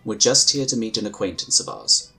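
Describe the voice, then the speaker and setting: An actor playing a calm, courteous psionic inquisitor in a sci-fi audio drama, with measured, formal phrasing. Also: male voice, fairly low pitch, gravelly, breathy edge, soft background music, full character voice. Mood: polite, diplomatic.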